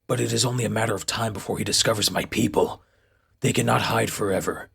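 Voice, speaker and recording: A man voicing a solemn, brooding alien rebel of the shadows in a comic book dub, speaking gravely and formally. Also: fairly low pitch, steady tone, quick pace, fairly bright tone, heavily acted. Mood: resolute.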